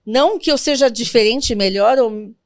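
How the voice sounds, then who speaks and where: A Brazilian woman in her fifties, a guest in an interview, telling family stories in a reflective, gentle way. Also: a little high, normal speed, no echo, studio quality. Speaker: guest, sworn translator. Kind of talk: interview conversation.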